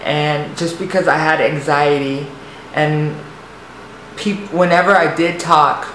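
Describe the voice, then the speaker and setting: Soft-voiced young man telling his story. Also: fairly high pitch, steady tone, slow. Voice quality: light, soft voice. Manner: hesitant, rambling, many restarts and fillers. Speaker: vlogger. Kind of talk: personal storytelling to camera. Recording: echoey room, faint noise.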